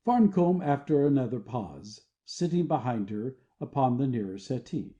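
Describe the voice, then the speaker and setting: A man's voice: mid-range voice, big pitch swings, normal speed, dark tone, lo-fi sound. A man reading stage directions as scripted narration for an audio play.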